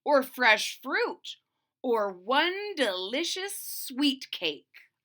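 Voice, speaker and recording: Storybook old man, played by a woman: wondering, wishful, bright-toned, with swooping exclamations. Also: high voice, very animated, drawn-out words, voice pushed up, heavily acted. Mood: longing. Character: eager, wondering old poor husband. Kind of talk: storybook read-aloud character voice.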